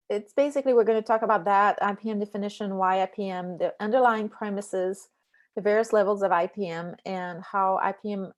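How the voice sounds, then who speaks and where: French-accented woman presenting, lots of ums. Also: mid-range voice. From France. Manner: relaxed, many ums and uhs. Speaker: beekeeping presenter. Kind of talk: online slide presentation.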